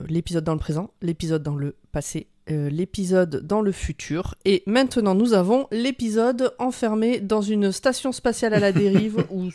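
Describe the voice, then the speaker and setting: A woman's voice: medium pitch, moderate pace, few pauses, mellow. A woman podcast panelist chatting casually, jokey and prone to digressions. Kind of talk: casual chat, episode summary.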